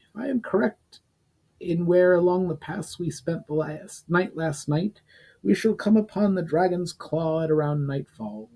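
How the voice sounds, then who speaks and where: A man's voice: on the high side, warm tone, breathy edge, voice pushed up, heavily acted, poor audio. A man voicing a wizened, injured old archmage, grave and cautioning, in a fantasy audiobook. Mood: worried, urgent.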